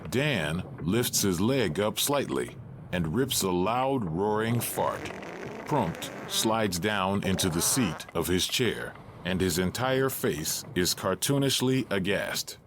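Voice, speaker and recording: A man with a very low voice narrates stage directions from a script. His delivery is slow with lively swings in pitch, and there is faint noise in the background.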